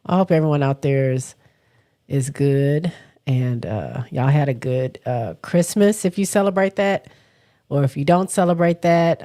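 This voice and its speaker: A woman with a cold hosting a casual livestream, her voice raspy and stuffy, talking haltingly and stopping often to clear her throat. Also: a bass voice, mellow. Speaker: livestream host. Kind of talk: casual livestream chat, reading viewer comments.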